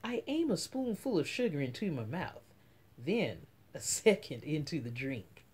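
A middle-aged woman reading a children's picture book aloud as story time host, with dramatic, drawn-out emphasis. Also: deep voice, very animated, darkish tone, slightly rough. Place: small room at home.

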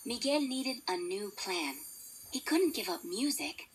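A woman's voice: mid-range voice, expressive, measured pace, husky, low-quality recording. A woman narrating a children's story from a script, as a read-along storybook narrator. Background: instrumental music.

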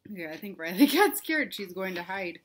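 A woman's voice: mid-range voice, big pitch swings, moderate pace, slight background noise. A young woman reading a picture book aloud to young children, expressively, with simple questions for the listeners. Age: young adult. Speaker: children's read-aloud host, teacher. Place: living room at home, indoors.

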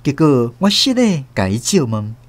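A Taiwanese man narrating a scripted radio drama in an expressive storytelling manner. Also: medium pitch, moderate pace, dry recording. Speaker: narrator and host. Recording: noisy background sound effects.

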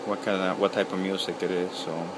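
A man in his thirties, from El Salvador, giving a halting, casual video reply outdoors beside a house, with lots of ums and uhs. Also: low-pitched, little pitch movement, background noise. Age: 30s. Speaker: pipe-smoking video blogger. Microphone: webcam at close range.

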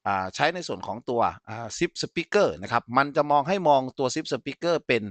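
Male instructor for an informal tech tutorial, mid-pitched, dry close sound, explanatory and checking in with learners. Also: lively intonation, quick pace, no echo. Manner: explanatory, frequently checks that listeners follow. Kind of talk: informal software tutorial with live demonstration.

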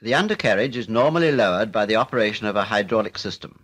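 A man's voice: on the low side, expressive, a bit bright. Formal 1940s British film narrator, man. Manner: formal, measured, matter-of-fact. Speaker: narrator of a military instructional film.

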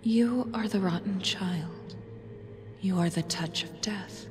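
A woman playing a grave, commanding moon goddess in a fantasy audio drama, whispery, solemn and halting, as if pronouncing prophecy. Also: medium pitch, music in the background, close to an everyday voice.

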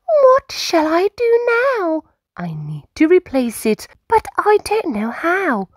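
A woman voicing an excitable young bear cub in a children's storybook, with dramatic, exclamatory delivery. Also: very high-pitched, slow pace, no room sound, studio quality, pitched above the performer's own voice, exaggerated voice. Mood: dismayed. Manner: exclamatory, dramatic, breaking into whispers and squeals. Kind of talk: children's storybook read-aloud.

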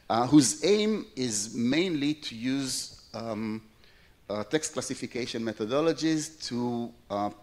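A middle-aged man whose first language is Hebrew, giving a plenary conference lecture in a large hall, with many fillers and restarts. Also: mid-range voice. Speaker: plenary speaker.

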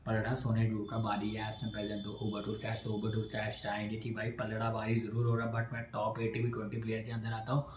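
Young male tennis vlogger for a match recap, flat steady delivery, small echoey room. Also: lowish voice, fast, hardly any pauses, dull audio, a little hiss or hum. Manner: talking straight to camera, gesturing with his hands; runs through scores one by one in short phrases. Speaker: tennis video analyst. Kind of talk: casual post-match analysis. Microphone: camera in front, upper body framed. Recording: roomy echo, faint background ambience.

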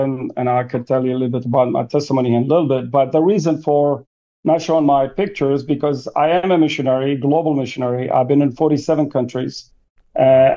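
A man's voice: medium pitch, mellow, lo-fi sound. A man from Iraq, a missionary pastor, answering interview questions hesitantly, with many fillers. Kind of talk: interview guest.

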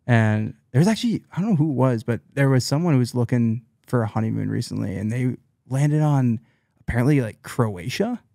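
A young adult man, a guest on a podcast, talking casually in a hesitant, halting way. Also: medium pitch, lively intonation, gravelly, mellow, dry recording, studio quality. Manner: hesitant, halting, many restarts and fillers. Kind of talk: casual chat. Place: small cozy studio room, indoors. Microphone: studio podcast mic on boom arm.

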